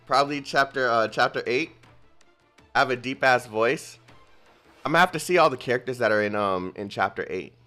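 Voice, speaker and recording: A young man streaming a game, chatting casually with his viewers in an excitable, rambling way. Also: mid-range voice, fairly quick, faint music behind. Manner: excitable, rambling, talks to chat. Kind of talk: casual livestream commentary.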